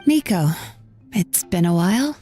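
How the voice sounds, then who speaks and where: A woman voicing a gentle, composed dream therapist in a video game, with a soothing, measured manner. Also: mid-range voice, expressive, faint music behind, lightly acted.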